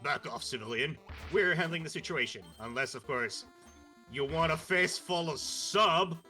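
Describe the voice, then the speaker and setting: A man playing a gruff, bossy secret service guard in a comic story read-aloud, with music behind him. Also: on the high side, moderate pace, bright, forceful tone. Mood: threatening.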